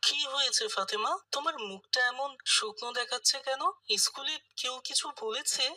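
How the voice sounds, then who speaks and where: A woman as a very bright, caring big brother. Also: high voice, normal speed, heavily acted. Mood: concerned. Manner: reassuring, instructive. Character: caring, devout big brother, a reassuring boy. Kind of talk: scripted children's cartoon dialogue.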